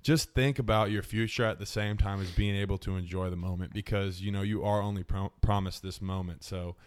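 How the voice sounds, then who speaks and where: Earnest man with a smooth, low voice. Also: fairly even intonation, fairly quick. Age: mid-20s. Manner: earnest, advice-giving, upbeat. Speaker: podcast host. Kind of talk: casual chat.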